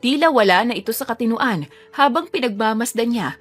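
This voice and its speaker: Female audio drama narrator, fairly high voice, scripted storytelling over a music bed. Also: animated, brisk.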